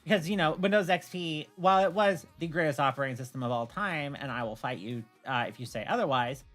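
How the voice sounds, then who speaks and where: A woman's voice: deep voice, fast, faint music behind. A woman chatting casually on a livestream, telling stories in a blunt, opinionated way. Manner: opinionated, blunt, animated storytelling with swearing. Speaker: streamer, host.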